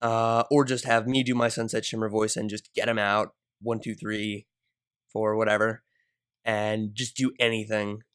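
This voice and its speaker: A woman's voice: very deep voice, little pitch movement, normal speed, smooth. A young adult woman who narrates fan fiction and chats casually as host of her channel.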